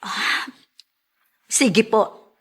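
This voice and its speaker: A woman's voice: very slow, a bit bright. A woman playing a worried mother in a radio drama, speaking in an anxious, hesitant manner. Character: Mariana, worried mother fighting for her child. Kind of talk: Filipino radio drama acting.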